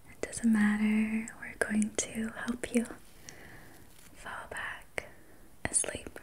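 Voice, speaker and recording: A woman whispering softly and breathily as an ASMR host, guiding relaxation in a soothing, gentle, unhurried manner. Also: a little high, completely flat delivery, poor audio. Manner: soothing, slow, gentle personal attention. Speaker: ASMR host and reiki practitioner.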